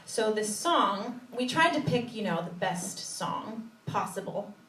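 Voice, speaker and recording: A woman's voice: medium pitch, lively intonation, a little slow, a bit bright, a little hiss or hum. A woman, a musical theater performer doing playful comic stage banter. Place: indoor cabaret stage before an audience. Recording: echoey live theater, distant, faint crowd.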